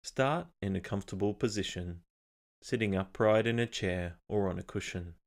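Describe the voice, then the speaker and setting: A man leading a scripted guided meditation in a calm, measured, instructional manner. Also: on the low side.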